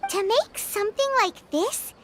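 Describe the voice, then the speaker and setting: A woman voicing a tiny, excitable floating fairy companion who chatters and refers to herself by name, in video game cutscene dialogue. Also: extremely high pitch, a little slow, background noise. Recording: game audio with loud music behind.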